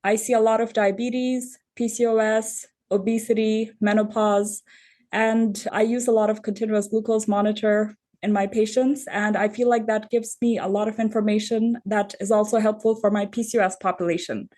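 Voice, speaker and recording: A woman from Bangladesh, an endocrinologist, giving measured, methodical explanations as a podcast guest. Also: medium pitch, level tone, dark tone. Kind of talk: explanatory interview answers.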